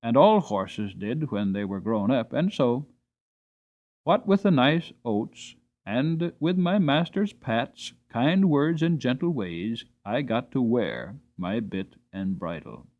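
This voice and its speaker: A man reading a storybook aloud as narrator, dramatically, with deliberate, emphatic phrasing. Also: mid-range voice, big pitch swings, phone-quality sound. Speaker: audiobook narrator.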